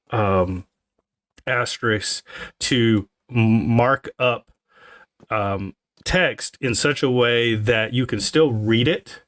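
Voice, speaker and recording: A man co-hosting a podcast, explaining things casually and haltingly, pausing mid-sentence. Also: on the low side, a bit bright, no echo. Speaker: podcast co-host.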